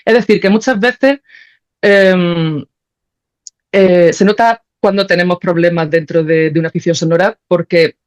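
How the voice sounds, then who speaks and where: A woman with a fairly low-fidelity, dulled recording talks as an interview guest. She explains her thinking conversationally, working it out as she goes and often checking that she is being followed. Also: measured pace, a little mellow, dull audio. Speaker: podcast guest, sound creator.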